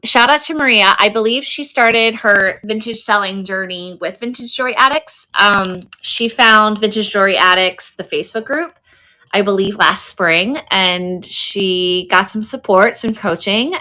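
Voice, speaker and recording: A woman's voice: mid-range voice, sharp tone. A woman hosting a live online sale in casual chat, effusive and chatty, often repeating words for emphasis. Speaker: host of an online selling livestream.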